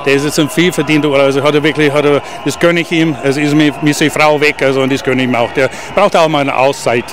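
Man, street interview, stumbling restarts, slightly high voice, faint noise behind. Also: fairly even intonation. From Germany. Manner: halting, stumbling, restarts words. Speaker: interviewed demonstrator. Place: outdoors at a city street protest. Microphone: handheld interviewer mic.

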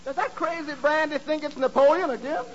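An actor playing a wry, unimpressed tavern waiter who needles with wisecracks in a 1940s radio comedy. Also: male voice, very high-pitched, a little fast, a crowd in the background. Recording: muffled 1940s radio recording with hiss.

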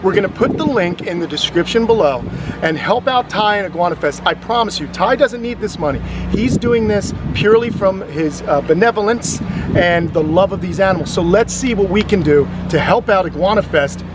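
A man's voice: sits high, lively intonation, a little fast, background noise. A man in his thirties hosting an outdoor reptile vlog, upbeat and speaking straight to his viewers. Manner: upbeat, addresses viewers directly. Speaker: YouTube host. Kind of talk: enthusiastic vlog interview. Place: outdoors beside reptile enclosures. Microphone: camera mic, close framing. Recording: outdoor recording with music bed.

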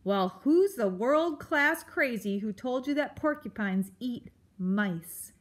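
A woman's voice: a little high, animated, takes it slowly. A woman voicing a cantankerous old male storybook porcupine, blustering and exclaiming crude, colourful insults. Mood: gruff, grumbling.

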